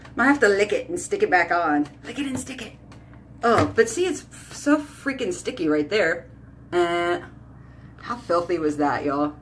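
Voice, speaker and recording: Brief: thirties woman, casual home beauty vlog, chatty and animated, phone mic at arm's length. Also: on the low side, moderate pace, a little hiss or hum. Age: 30s. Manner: chatty, animated, lots of "like" and "y'all". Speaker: beauty vlogger. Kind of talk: casual chat to camera. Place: small bedroom or bathroom at home. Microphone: phone camera at arm's length.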